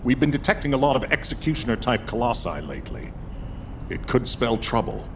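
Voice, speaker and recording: An actor playing a stern military commander in a video game, dry and authoritative. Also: male voice, very animated, a little fast. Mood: commanding. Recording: noisy rumble behind.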